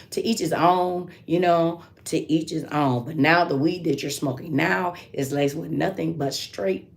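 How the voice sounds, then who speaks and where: A raspy-voiced woman hosting a live stream, talking bluntly and preachily. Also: low-pitched. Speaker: livestream host.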